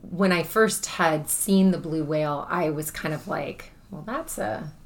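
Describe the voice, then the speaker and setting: Guest, woman, low projecting voice, relaxed chatty delivery with fillers. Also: measured pace, gravelly. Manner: chatty, thinks aloud with many fillers. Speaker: guest, spiritual teacher. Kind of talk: casual conversation.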